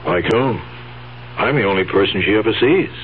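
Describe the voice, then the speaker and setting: Actor as a scheming nephew, dull radio recording. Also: male voice, fairly low pitch, lively intonation, normal speed, close to an everyday voice. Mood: calculating. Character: scheming, impatient nephew humouring his aunt. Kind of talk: 1970s radio drama acting. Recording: dull old radio recording, faint hum.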